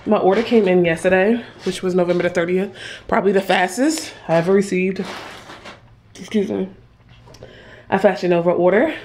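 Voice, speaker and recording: A woman's voice: medium pitch, a little mellow, a little hiss or hum. A young woman vlogging at home, rambling chattily to her viewers while fighting a cough, filmed on a phone held at arm's length. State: coughing with a sore throat. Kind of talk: casual haul vlog. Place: small room at home, indoors. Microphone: handheld phone camera at arm's length.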